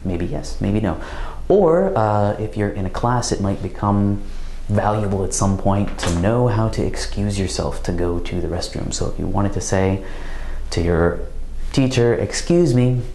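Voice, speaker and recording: A man giving a recorded language grammar lesson, explaining slowly and carefully with example phrases. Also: on the low side, creaky, warm tone, echoey room, slight background noise. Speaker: language teacher.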